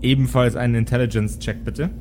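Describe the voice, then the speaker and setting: A man improvising as game master in a tabletop role-playing game, often pausing to search for his words. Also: medium pitch, fairly even intonation. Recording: clear background noise and ambience.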